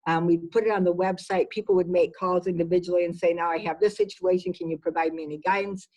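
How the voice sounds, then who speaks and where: A woman's voice: on the low side, fairly even intonation, fast talker, darkish tone, poor audio. A woman executive giving conversational interview answers, emphatic and repeating words for stress. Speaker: business executive being interviewed.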